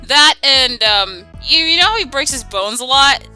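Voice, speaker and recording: A woman's voice: sits high, animated, normal speed, bright, forceful tone. A young woman hosting a casual fan Q and A, playful and exclamatory with dramatic reactions. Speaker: video host reading and answering fan questions. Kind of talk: casual Q and A banter. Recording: music under the voice. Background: background music.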